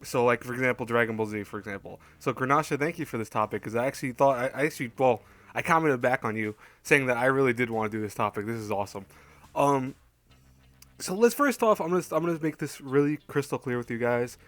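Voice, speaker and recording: A man talks through his opinions as a YouTube host in a casual, unscripted way. His voice sits at an average pitch, and he often hedges, stalls and rephrases as he goes. The recording is dry, with very little room sound. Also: lively intonation, fairly bright tone, no room sound. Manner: hedging, halting, self-correcting.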